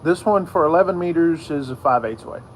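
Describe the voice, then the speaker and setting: A middle-aged man with a fairly high-set voice explains his hobby in an off-the-cuff, casual way. He speaks haltingly, repeating phrases and circling back. He is recorded outdoors, with faint insect and vehicle noise behind him. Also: expressive, low-quality recording. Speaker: radio hobbyist video host. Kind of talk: casual, unscripted explainer vlog. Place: outdoors in a yard.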